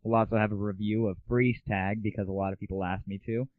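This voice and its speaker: A man's voice: lowish voice, level tone, quick pace, no echo. An American man hosting a show with a casual, comic monologue style. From United States. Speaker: show host. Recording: dry, phone-like lo-fi audio.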